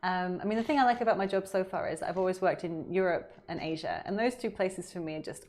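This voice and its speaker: A woman's voice: fairly low pitch, fast, darkish tone. A middle-aged woman, a diplomat, chatting as an interview guest in a friendly, easygoing way with small laughs and restarts. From United Kingdom. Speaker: guest, deputy ambassador. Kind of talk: casual interview conversation.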